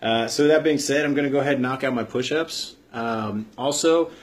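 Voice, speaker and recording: A man's voice: mid-range voice, normal speed. A man hosting a vlog, giving motivational advice in an informal, earnest, explanatory way. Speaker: vlog host, army veteran. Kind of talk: motivational advice talk to camera.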